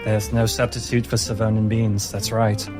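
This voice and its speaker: A man's voice: fairly low pitch, flat delivery, moderate pace, close to an everyday voice. Man playing a proud, savoring café owner. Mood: proud, courteous. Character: proud, courteous male café owner devoted to his coffee. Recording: music playing under the voice.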